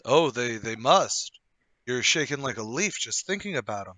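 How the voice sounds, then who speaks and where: Man playing a bright-voiced chummy old acquaintance. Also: medium pitch, big pitch swings, normal speed, slight background noise. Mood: probing, sympathetic. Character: smooth, chummy male old acquaintance, probing and falsely friendly. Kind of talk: radio play table read.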